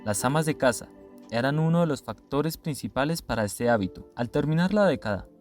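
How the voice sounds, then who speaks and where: A man's voice: medium pitch, animated, brisk, a little mellow. Male narrator over music. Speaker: documentary narrator. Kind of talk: scripted narration.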